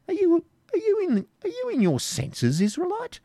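A man's voice: medium pitch, animated, normal speed, darkish tone, no echo, studio quality, pitched above the performer's own voice, close to an everyday voice. Man doing a harsh, savage baron. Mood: menacing. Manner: harsh, commanding. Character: savage, cruel medieval baron, stern.

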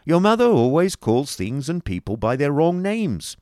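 A man puts on a pompous, bullying schoolmaster for a storybook. The voice jumps high and loud, swinging widely as he blusters and wheedles. It is recorded close and dry. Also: big pitch swings, normal speed, no room sound. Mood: self-satisfied. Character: bullying, self-important schoolmaster husband, stern yet wheedling.

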